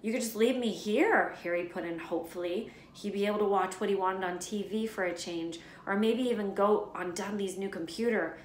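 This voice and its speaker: Woman, storybook read-aloud, expressive narration, medium pitch, echoey room. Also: a little fast, few pauses, slight background noise.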